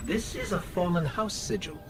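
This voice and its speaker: An actor playing a small robot companion in a video game, earnest, inquisitive and upbeat. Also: male voice, sits high, expressive, moderate pace, music in the background. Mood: curious, eager. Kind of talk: video game character acting.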